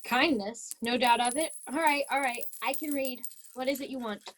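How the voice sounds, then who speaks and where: A woman's voice: high-pitched, moderate pace, no echo. A woman playing a cold, miserly, grumpy older female boss in an amateur radio play, curt and scornful. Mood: cold, dismissive.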